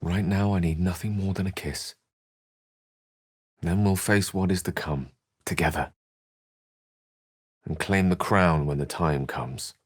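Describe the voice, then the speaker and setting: A man's voice: low-pitched, fairly even intonation, a little slow, pauses often, breathy edge, dry recording, studio quality. A male actor playing an erudite, wry wizard in a fantasy video game, articulate and theatrical, with a British accent and a streak of melancholy. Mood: tender, hesitant.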